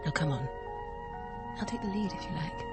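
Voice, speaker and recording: Animated, airy young woman. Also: mid-range voice, moderate pace, over loud music. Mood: teasing, tender. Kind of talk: radio drama acting.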